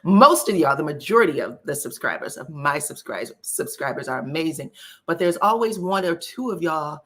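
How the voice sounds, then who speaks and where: A woman's voice: sits low, very animated, moderate pace. A woman hosting a casual live-stream gossip chat, scratchy voice, talking folksy and direct to her viewers. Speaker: celebrity gossip YouTuber.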